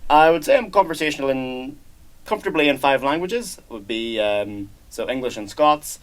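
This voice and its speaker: A young man with a Northern Irish accent, an exchange student answering interview questions in a relaxed, hesitant way with many fillers and restarts. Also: medium pitch, moderate pace. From Northern Ireland. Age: young adult. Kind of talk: casual interview chat.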